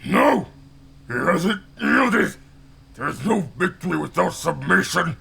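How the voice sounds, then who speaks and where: Man playing gravelly, hot-headed young samurai rival. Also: high-pitched, very animated, takes it slowly, bright, forceful tone, full character voice. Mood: furious triumph. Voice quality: gravelly, raspy. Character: aggressive, ruthless young male warrior in feudal Japan. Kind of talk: dramatic audio drama acting.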